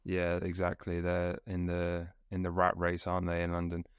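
A young man hosting a podcast over a video call, upbeat and playful, speeding up and slowing down as he talks. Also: deep voice, monotone, clear, resonant tone, no room sound, highs cut off. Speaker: podcast host. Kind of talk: casual podcast interview. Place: small room lit by coloured lights.

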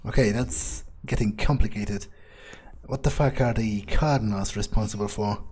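A man roleplaying a curious, impatient vampire newcomer in a video game, improvising in a halting, pondering way. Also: fairly low pitch, a crowd in the background, natural voice. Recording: faint music behind the voice.

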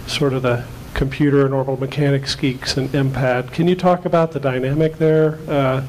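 Man in the audience, mid-pitched, polite and hesitant, lots of uhs, big hall. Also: steady tone, normal speed, darkish tone, a little hiss or hum. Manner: polite, hesitant, many fillers. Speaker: audience questioner. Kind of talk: asking a question at a panel. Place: large auditorium.

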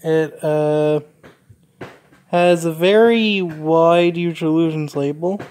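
A woman narrating a casual unboxing in a halting, deliberate way. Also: low-pitched, fairly even intonation, darkish tone. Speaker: unboxing video maker.

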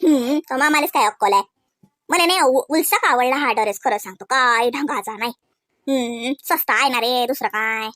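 A woman's voice: high voice, normal speed, fairly bright tone, no echo, clean studio sound. A woman voicing a bossy, stingy village mother in a comedy cartoon, scolding bluntly in a Vidarbha Marathi accent. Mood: dismissive, grumbling. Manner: scolding, blunt, badgering with questions. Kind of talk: comedy cartoon dialogue in rural dialect.

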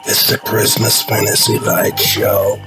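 A man playing a weary, gruff father in a post-apocalyptic audio drama. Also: fairly low pitch, monotone, a little slow, loud music behind the voice, lightly acted.

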